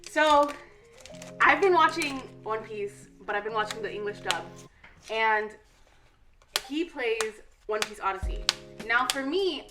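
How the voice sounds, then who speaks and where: A woman's voice: on the high side, recorded from a distance. A woman, the host's fiancée, joining in with playful casual chat.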